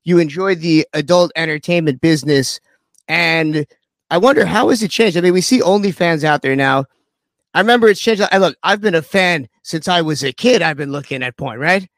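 Male radio host, joking crude banter, a bit high-pitched, dry sound. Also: brisk, fairly bright tone, dry recording. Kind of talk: casual interview chat.

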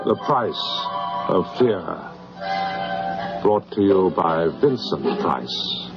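A man's voice: low-pitched, expressive, extremely slow. A man announcing an old radio horror series. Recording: dull old radio recording, loud organ music. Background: loud organ music.

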